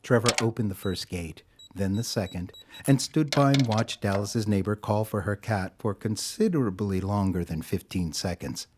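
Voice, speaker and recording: Low-pitched male story narrator. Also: normal speed, few pauses, a little mellow, a little hiss or hum.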